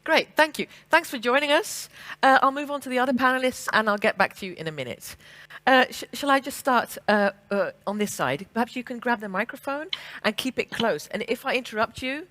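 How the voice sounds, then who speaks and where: A woman with an English accent moderating a conference panel, frank and brisk with frequent fillers and restarts. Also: on the high side, a bit bright. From England and the Netherlands. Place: conference stage.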